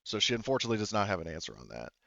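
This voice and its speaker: A man's voice: on the low side, sing-song, rapid-fire, vocal fry, a bit bright, no room sound, lo-fi sound. A man acting as game master, explaining tabletop game details in a hesitant, rambling way. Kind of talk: improvised tabletop game narration.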